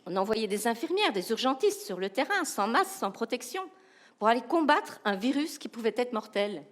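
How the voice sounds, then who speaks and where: A woman with a light Belgian accent delivers a keynote with humor and energy. Her voice sits a little high, and she keeps turning to the audience with rhetorical questions. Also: very animated, moderate pace. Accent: Belgian French. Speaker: keynote speaker, professional coach. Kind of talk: lively keynote talk.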